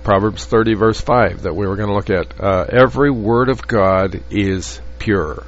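A man teaching from the Bible in an earnest, halting way, with frequent restarts and fillers. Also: fairly low pitch. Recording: dull lo-fi audio with faint hum.

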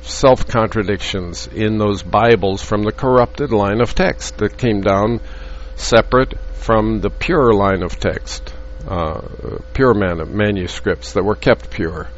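A man teaching from the Bible in an earnest, halting way, with frequent restarts and fillers. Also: lowish voice. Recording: dull lo-fi audio with faint hum.

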